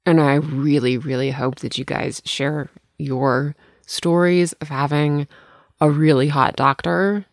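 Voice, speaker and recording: A hoarse woman co-hosting a podcast, telling stories casually and often laughing at herself. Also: deep voice, steady tone, a little slow, no echo, clean studio sound. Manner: laughing, self-deprecating storyteller. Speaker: podcast co-host. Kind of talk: casual chat.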